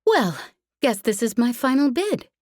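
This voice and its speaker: Lady doing a cocky young woman smuggler pilot, cheery swaggering drawl, super bouncy pitch, audiobook style. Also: big pitch swings, unhurried, no room sound, studio quality. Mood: cheery, loud.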